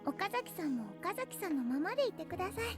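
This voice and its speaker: Very high, sweet anime girl. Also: very animated, racing, over music. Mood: earnest, gentle. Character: sweet, polite, earnest anime girl or young woman. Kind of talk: Japanese anime voice acting.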